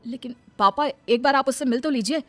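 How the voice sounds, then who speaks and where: A woman's voice: high-pitched, brisk, a bit bright, pitched above the performer's own voice. A woman voicing an earnest, lovestruck young man in a storybook tale, pleading and insistent. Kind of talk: storybook character dialogue. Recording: background music under the voice.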